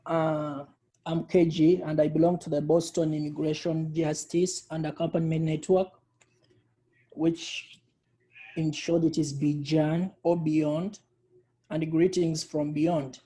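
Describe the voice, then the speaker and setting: A man in his thirties from Uganda, speaking slowly and deliberately as a panelist on a video call. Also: on the high side, little pitch movement, mellow, slightly rough, rough recording. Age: 30s. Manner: slow, deliberate speech.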